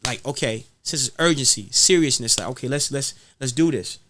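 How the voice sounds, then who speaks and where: A man's voice: medium pitch, fairly quick, a bit bright, no room sound. A man speaking in an impassioned, preachy podcast style, repeating phrases for emphasis. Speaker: guest rapper being interviewed.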